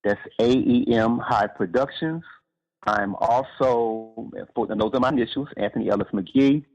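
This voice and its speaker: A man's voice: mid-range voice, normal speed, darkish tone. Male author interviewed over a dull, muffled line. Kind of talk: conversational interview answers.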